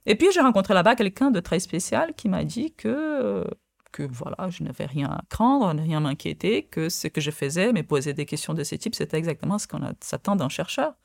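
A woman whose first language is Portuguese, a researcher speaking as a guest in a radio interview, thinking aloud with many pauses and fillers. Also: lowish voice, animated, vocal fry, no room sound. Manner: hesitant, many fillers and restarts.

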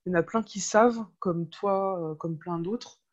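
A woman's voice: lowish voice, moderate pace. Woman chatting on a dull, lo-fi recording. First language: French. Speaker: podcast guest, web entrepreneur. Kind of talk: casual interview, personal storytelling.

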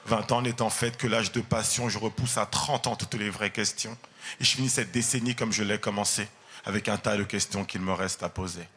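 A man's voice: on the low side, steady tone, fairly quick, a bit bright, slight background noise. A man in his thirties, a comedian, talks casually to an audience on stage and then recites a rhythmic, rhyming spoken-word piece into a handheld mic. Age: 30s. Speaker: comedian and performer.

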